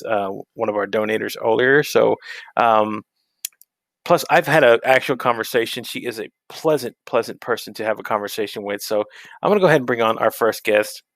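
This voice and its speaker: A man's voice: medium pitch, fairly bright tone, no echo. A man hosting a casual live stream interview on a video call, rambling with restarts and laughing often.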